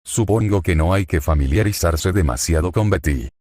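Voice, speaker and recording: Disdainful little spirit girl, voiced by a deep-voiced man, dry. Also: normal speed, darkish tone, dry recording. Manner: haughty, disdainful.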